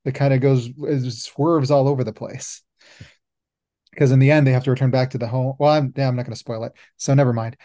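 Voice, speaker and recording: Mellow-voiced man musing aloud. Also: medium pitch, fairly even intonation, a little fast. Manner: rambling, musing, many fillers. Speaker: podcast host. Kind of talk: casual roundtable chat.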